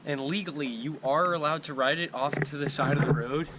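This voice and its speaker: A man's voice: a little high, brisk. A young American man chatting casually with friends as a vlogger, recorded on very noisy amateur video.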